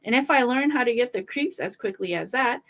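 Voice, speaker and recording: A woman's voice: fairly high pitch, lively intonation, fairly quick, a bit bright. A woman voicing a bold, naive young boy in a fairy tale, earnest and calling out boldly. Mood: eager.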